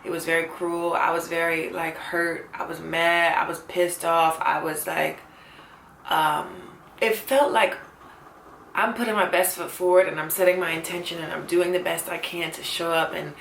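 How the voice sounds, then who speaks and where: Young woman in her 20s talking into her camera, low flat gravelly voice, echoey room w/ a bit of hiss. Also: moderate pace. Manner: earnest, open and reflective, with frequent "like" and "you know". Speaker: vlogger. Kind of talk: candid personal talk to camera. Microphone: camera mic.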